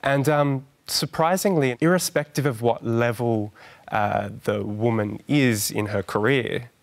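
A man in his thirties with a soft voice, speaking gently and thoughtfully as a guest on a studio panel. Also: medium pitch, a little slow. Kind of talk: studio discussion. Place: large television studio.

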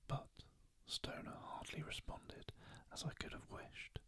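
A reader whispering an audiobook narration in a soft, steady hush. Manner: soft whisper throughout, slow and even.

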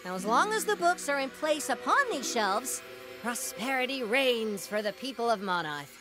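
A man voicing a small, anxious, theatrical creature who keeps magic books in an animated game. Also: very high-pitched, moderate pace, music in the background. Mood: grandly earnest. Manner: theatrical, flustered, grandiose. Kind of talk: animated game cutscene voice acting.

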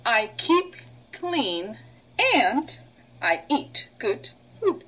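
Slow, higher-pitched woman teaching young children. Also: sing-song, fairly bright tone, husky. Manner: instructional, prompting with pauses for repetition. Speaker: preschool teacher. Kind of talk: read-aloud vocabulary lesson for young children.

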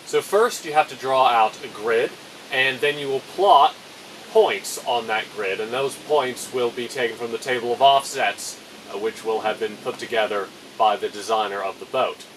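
A young man talks through a woodworking build in a clear, methodical way with a dry sense of humour. He sits a little back from the camera mic, and a faint hum of air conditioning runs underneath. His voice is of average pitch for a man. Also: moderate pace, fairly bright tone, far from the mic, poor audio. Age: young adult. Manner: clear, methodical, dryly humorous. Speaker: boatbuilding vlogger. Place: plastic-sheeted polytunnel workshop, indoors.

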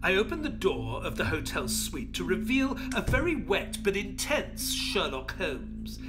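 A man playing an earnest detective's sidekick who tells the story in an audio drama, in a measured, descriptive way. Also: high-pitched, huge pitch swings, loud music behind the voice, full character voice. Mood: measured, narrating. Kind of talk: audio drama narration and dialogue.

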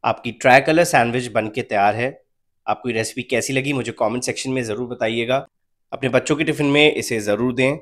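A young man narrates a cooking video in a calm, even, instructional manner. His voice sits at an ordinary middle pitch, and he explains each step plainly for viewers following along at home. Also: fairly quick. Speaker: cooking channel host. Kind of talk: recipe voice-over narration.

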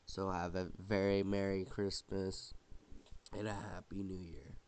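A young man hosting a casual holiday vlog while sick with a cold, coughing now and then and rambling with halting restarts. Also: low-pitched, level tone, noisy background. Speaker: channel host.

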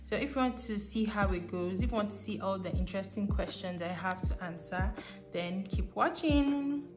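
A woman's voice: medium pitch, normal speed, darkish tone. A young woman in her twenties with a Nigerian accent, chatting casually to camera as she answers questions one by one. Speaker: vlogger. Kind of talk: casual vlog talk to camera. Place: living room indoors. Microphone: lapel mic. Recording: echoey room with music behind. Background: background music.

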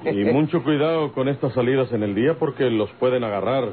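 An actor playing a commanding, cautious gang leader with a Mexican accent in an old radio drama. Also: male voice, mid-range voice, brisk, close to an everyday voice. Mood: firm, cautioning. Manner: firm, authoritative. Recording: tinny, slightly noisy old radio recording.

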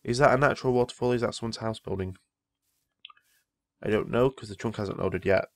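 A man's voice: fairly low pitch, a little fast. A man who is ill, rambling casually through gameplay commentary and easily impressed by what he sees. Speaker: Minecraft let's player.

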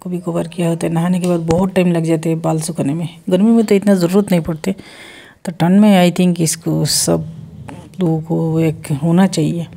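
A woman's voice: fairly low pitch, flat delivery, normal speed, creaky, warm tone. A woman recording a casual home vlog about a product, chatting in a rambling way with many restarts and English words mixed in. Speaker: vlogger reviewing a product. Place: small room at home, indoors.

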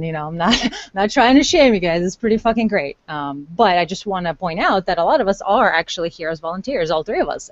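A woman hosting a casual podcast, rambling with many fillers and restarts over a video call. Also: lowish voice. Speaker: podcast host. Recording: dull, dry lo-fi call audio.

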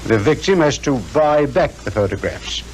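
An actor playing a blunt, knowing police inspector with a British accent in a 1950s radio drama, plain-spoken and clipped. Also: male voice, on the high side, expressive, moderate pace, a little mellow, close to an everyday voice. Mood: knowing, explanatory. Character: blunt, worldly, confident male police inspector. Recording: noisy 1950s radio recording.

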